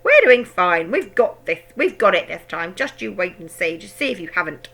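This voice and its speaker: A woman puts on a pushy, excitable father who barks out orders in a raised, forceful voice. His lines bounce up and down with eager energy, with a gravelly edge to them. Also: lowish voice, moderate pace, exaggerated voice. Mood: excited, bossy. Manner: bossy, eager, loud.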